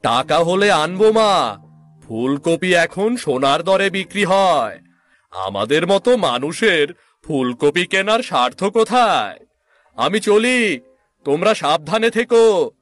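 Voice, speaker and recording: A man acts out a poor middle-aged cartoon woodcutter, a gentle father with some pride. His voice sits a little high for a man and moves very slowly. He speaks plainly and plaintively, like folk from a village. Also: very animated, exaggerated voice. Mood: resigned, tender. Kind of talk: Bengali moral-story cartoon voice acting.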